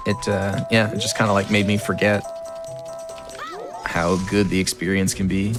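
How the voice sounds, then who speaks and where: A man, a speedrunner, chatting casually while playing, his voice a little raspy from being ill, talking with lots of fillers and restarts. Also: fairly low pitch, flat delivery, normal speed. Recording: music playing behind.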